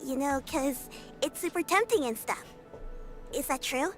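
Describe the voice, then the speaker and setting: A woman's voice: high voice, expressive, a little slow, soft background music. An actress voicing a curious, eager young female disciple in a video game, talking in a casual youthful way. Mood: curious, cheerful.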